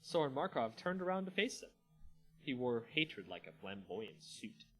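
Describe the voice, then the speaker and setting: A male host narrates fantasy fiction in a mid-range voice with very animated intonation. The delivery is theatrical and expressive, and music plays softly behind him. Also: medium pitch, normal speed, poor audio. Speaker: channel host and story narrator. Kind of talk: dramatic story narration.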